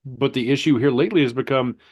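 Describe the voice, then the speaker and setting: Male co-host with a mid-pitched nasal, sniffly voice who stumbles and restarts as he tells a story. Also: a little mellow, breathy edge. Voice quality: nasal, stuffy. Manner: halting, repeats words, storytelling. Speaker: podcast co-host. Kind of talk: casual podcast chat.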